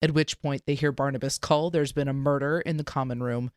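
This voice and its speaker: A man co-hosting a podcast, chatting casually and recapping in a smooth, clear voice. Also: mid-range voice, steady tone, a little fast, no echo, clean studio sound. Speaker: podcast co-host. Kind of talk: casual chat with recap narration.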